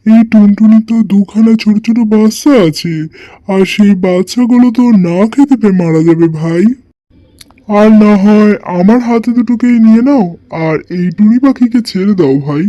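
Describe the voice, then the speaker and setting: A man's voice: high voice, moderate pace, warm tone, no room sound, lightly acted. A man playing a kindly elephant driver in a children's cartoon, appealing and reasoning, in a slightly dull recording. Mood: earnest appeal. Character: kindly male elephant driver, interceding for a bird. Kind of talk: children's cartoon story voice acting.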